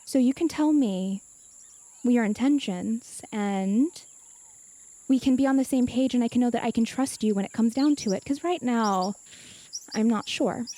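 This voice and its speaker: A woman playing a tabletop game in character, speaking in a probing, measured way. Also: fairly high pitch, mellow. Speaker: player.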